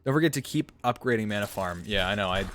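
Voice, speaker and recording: A man's voice: fairly low pitch, lively intonation, fast, a bit bright, a little hiss or hum. A man streaming a strategy game, thinking aloud as he plays and answering chat with self-mocking asides. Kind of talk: casual gameplay livestream commentary.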